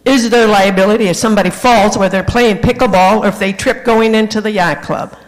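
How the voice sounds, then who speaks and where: A man's voice: high voice, steady tone, fairly quick, poor audio. A man at a board meeting who keeps pressing an insistent question about liability.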